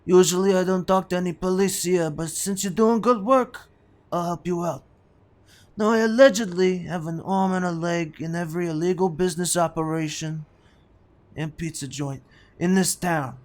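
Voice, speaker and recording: A high-pitched gangster boss , acted by a man for a comedy audio drama. He blusters and wisecracks, quick to take offense and obsessed with his food. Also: close to an everyday voice. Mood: confiding. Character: blustering Italian-American mob boss, food-obsessed, touchy.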